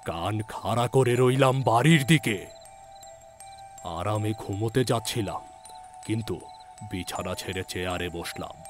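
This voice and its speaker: A man acting a village healer telling his own ghost story in a low voice. He goes very slowly and dramatically, letting phrases drop and then pressing on the uneasy questions. Music plays clearly behind him. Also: natural voice. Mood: suspenseful, uneasy. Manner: measured, suspenseful delivery with hushed drops and emphatic questions. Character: male village physician recounting an eerie ghostly encounter. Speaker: narrator. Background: background music.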